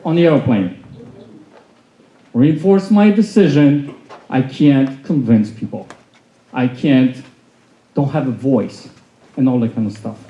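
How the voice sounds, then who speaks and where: A man in his forties, born in Poland and a native Polish speaker, telling his life story loudly and with energy to a seated audience in a conference hall, speaking into a handheld mic. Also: mid-range voice, slow pace, long pauses. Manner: loud, animated storytelling. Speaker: motivational speaker. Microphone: handheld mic, filmed from the back of the room. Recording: echoey hall, dull sound.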